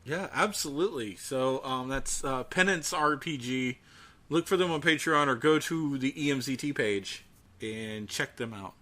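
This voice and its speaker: A man with a bright, forward voice and an ordinary mid pitch. He talks as a podcast co-host in casual chat, halting and chatty as he finds his way through a thought.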